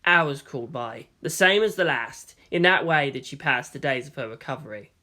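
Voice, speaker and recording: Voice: medium pitch, lively intonation, moderate pace, sharp tone. A narrator giving a scripted audiobook reading of a fan fiction story.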